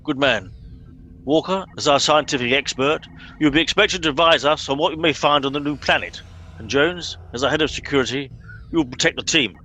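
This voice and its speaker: A man as a pompous, bright-toned captain. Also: a little high, a little fast, slight background noise. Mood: brisk authority. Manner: pompous, officious, exasperated. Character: pompous, impatient male captain of bumbling crew. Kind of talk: comic radio play acting.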